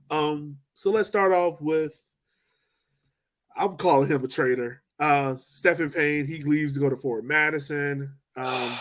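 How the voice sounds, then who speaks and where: A man hosting a casual sports podcast, animated and laughing often, with quick asides. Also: fairly high pitch. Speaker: podcast host.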